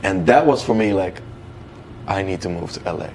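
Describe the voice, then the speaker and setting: A low-voiced man with a creaky edge, talking casually as a guest. He tells stories in loose slang, acting out what people said, with some noise behind him. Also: moderate pace, poor audio. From Netherlands. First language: Dutch. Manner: animated slangy retelling with quoted dialogue and swearing. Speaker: guest, professional dancer. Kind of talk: casual conversational storytelling.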